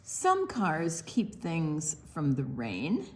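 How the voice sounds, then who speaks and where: A woman's voice: low-pitched, huge pitch swings, very slow. An older woman reading a picture book aloud to young children, drawing out words expressively and adding explanatory asides. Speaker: storytime reader.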